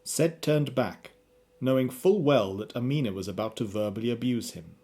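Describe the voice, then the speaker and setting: A man's voice: mid-range voice, normal speed, dark tone. A man narrating a scripted radio drama as its storyteller.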